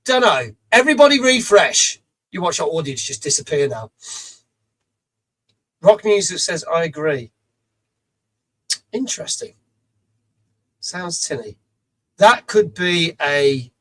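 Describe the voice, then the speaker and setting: High-pitched, rough-voiced man. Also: a bit bright, low-quality recording. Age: middle-aged. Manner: chatty, reads out chat, swears casually. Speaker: live stream host. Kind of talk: casual live chat, greeting viewers. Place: small home studio.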